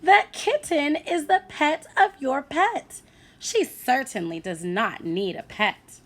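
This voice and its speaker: A woman voicing an exasperated mother in a storybook, stern and growing louder with each protest. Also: high-pitched, animated, takes it slowly, voice pushed up, heavily acted. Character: exasperated mother putting her foot down.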